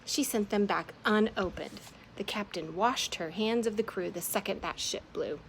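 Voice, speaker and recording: A woman's voice: normal speed, gravelly, slight background noise, natural voice, low-quality recording. A woman reading an audiobook, voicing an angry young man who snarls and shrieks. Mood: bitter, snarling. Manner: snarling, shrill outbursts.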